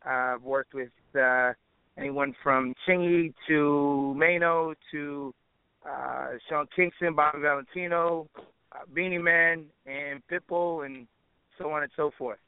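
A man's voice: a little high, fairly even intonation, measured pace, clear, resonant tone, dry recording, narrow, tinny audio. A man chatting casually as an interview guest, with informal, slangy phrasing and frequent "you know" asides. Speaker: interview guest, musician and producer.

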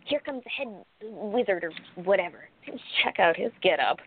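A woman playing an eager, excitable female sidekick detective in a radio play, with a brisk theatrical delivery. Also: medium pitch, very noisy, lightly acted. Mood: curious, hushed. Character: eager, indignant, chatty female sidekick sleuth. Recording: thin, phone-like band-limited audio.

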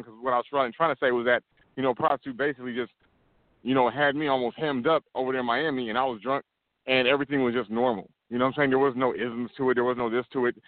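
Fast-talking man on a tinny line. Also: medium pitch, no echo. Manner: rambling storyteller, many restarts. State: walking while talking. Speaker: podcast co-host. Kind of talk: casual chat.

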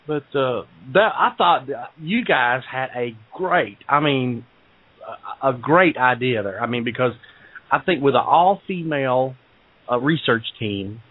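A man's voice: medium pitch, animated, measured pace, husky, no echo. A male co-host asking questions in an easygoing, jokey way and laughing often. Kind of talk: casual radio interview. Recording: dry, tinny phone line.

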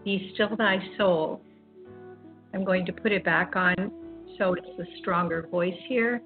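Slow-speaking older woman, phone-like sound. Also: fairly low pitch. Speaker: host of an online spiritual talk radio program. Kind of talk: reflective, informal monologue. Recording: dull, phone-like internet radio audio. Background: music.

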